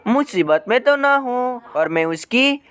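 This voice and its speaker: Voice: medium pitch, expressive, measured pace, a bit bright, clean tone. A narrator voicing a caring, hardworking mother bird in a cartoon story, talking earnestly to herself. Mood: concerned. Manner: earnest self-talk. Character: worried, hardworking mother sparrow, caring and determined.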